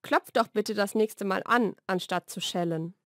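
A woman reading a scripted language lesson, giving single words and short example sentences with measured, deliberate enunciation. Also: mid-range voice, background noise. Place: dry studio voice-over recording.

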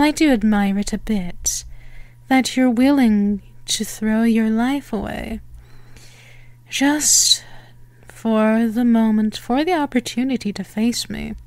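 Actress as female vampire for ASMR roleplay: higher-pitched, unhurried, haughty and menacing, very dry close recording. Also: darkish tone, dry recording. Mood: smug, commanding. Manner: teasing, theatrical, deliberate phrasing.

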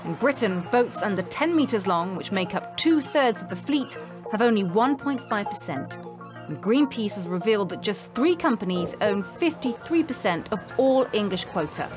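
A woman's voice: mid-range voice, animated, normal speed. Woman narrating a news report over music. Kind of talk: scripted narration. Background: background music.

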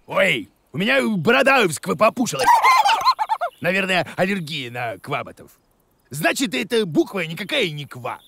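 Blustery, creaky cartoon creature, very animated. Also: male voice, sits high, normal speed, a little hiss or hum, heavily acted. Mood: confident, pompous. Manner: blustering, theatrical, prone to exclaiming. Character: excitable, bossy, bumbling male bearded cartoon creature. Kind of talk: animated children's cartoon acting.

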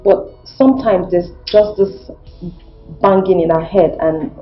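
A woman with a Nigerian accent playing a respectful young woman in a film drama, pleading haltingly and deferentially. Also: medium pitch, close to an everyday voice. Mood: earnest pleading. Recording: muffled lo-fi film audio, faint music.

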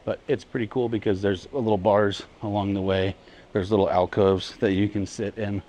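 A man's voice: on the low side, steady tone, normal speed, warm tone. A man co-hosting a travel vlog, giving an easygoing, chatty walking tour aboard a cruise ship. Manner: easygoing, chatty, laughs between remarks. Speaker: travel vlogger co-host. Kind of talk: casual walking tour narration. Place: aboard a cruise ship, indoors and on open decks.

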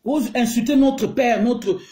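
A woman's voice: fairly high pitch, unhurried, mellow. A middle-aged Congolese woman with a Congolese accent, ranting indignantly into her phone in a heated video. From Congo (DRC). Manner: indignant, emphatic, mixes French and Lingala. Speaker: online commentator. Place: small room indoors. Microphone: phone held close to the face. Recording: echoey room.

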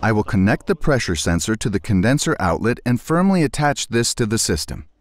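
A man reading a scripted technical tutorial as a technician presenter, in a measured, precise and formal manner. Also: lowish voice, sing-song. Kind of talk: scripted instructional narration.

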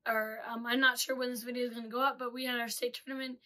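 A young woman with a slightly hoarse voice chatting casually through a product review, full of ums and uhs, recorded on a studio mic in a small room. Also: on the high side, flat delivery, fast, sharp tone. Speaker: 3D printing reviewer. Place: small indoor room with 3D printers. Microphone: studio mic with pop filter.

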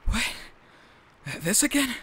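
Cocky young male, acted, teasing, bright tone, slow pace, audio drama. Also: very high-pitched, big pitch swings, breathy edge, heavily acted. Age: young adult. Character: cocky, teasing young man, a school dropout.

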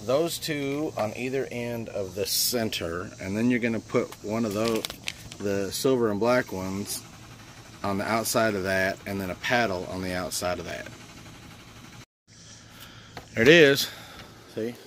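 A man's voice: fairly low pitch, a bit bright, slight background noise. A man talking informally and thinking aloud as he gives a casual hands-on product review outdoors on a handheld camera. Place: outdoors on sandy ground at a campsite. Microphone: handheld camera held by the speaker.

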